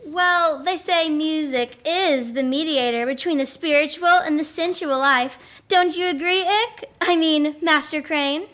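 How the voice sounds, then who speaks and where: A woman's voice: sits high, measured pace, few pauses, fairly bright tone. A young female performer playing a coquettish eighteen-year-old farmer's daughter in a school radio play. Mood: coy. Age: young teenager.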